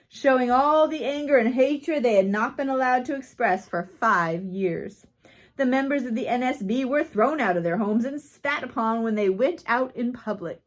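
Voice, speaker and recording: A woman narrates a written biography aloud. Her voice sits a little higher than most women's. She reads in measured phrases, in the style of an audiobook. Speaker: audiobook reader.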